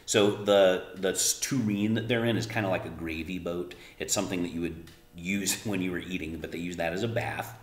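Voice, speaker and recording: A man's voice: low-pitched, steady tone, fairly quick. A man reading a children's story aloud expressively and emphatically, adding casual explanations as he goes. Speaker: read-aloud narrator explaining words to children. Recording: roomy, echoing room sound.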